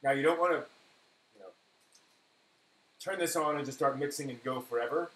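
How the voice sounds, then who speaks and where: A man's voice: medium pitch, normal speed, fairly bright tone. A man hosting a casual cooking demonstration, rambling and correcting himself as he talks through the steps while he works. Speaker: live stream host. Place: indoor kitchen at a counter. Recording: echoey room, clear background noise.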